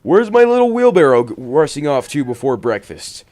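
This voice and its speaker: Horror-story grandfather, acted by a male reader: elderly, high-pitched, chirpy and doting. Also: big pitch swings, normal speed, a little mellow. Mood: cheerful, doting. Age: older. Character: cheerful, doting old grandfather with an eerie secret.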